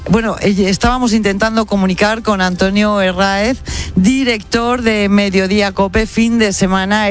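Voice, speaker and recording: A man reporting live for radio from a street event, chatty and addressing listeners directly. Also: sits high.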